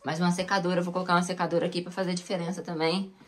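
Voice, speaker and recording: A woman's voice: lowish voice, flat delivery, rapid-fire. Woman showing off her house on her phone, chatty, pointing stuff out. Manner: chatty, addresses viewers, pointing things out. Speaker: home vlogger. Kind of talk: casual home tour chat. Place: cold stone house basement, indoors. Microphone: handheld phone camera.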